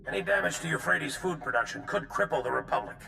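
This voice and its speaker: An actor playing a grave, battle-worn military general in a video game, with a gravelly voice. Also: male voice, on the high side, animated, quick pace, pushed and bright, reverberant, a little hiss or hum, full character voice. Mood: urgent. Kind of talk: video game cutscene acting.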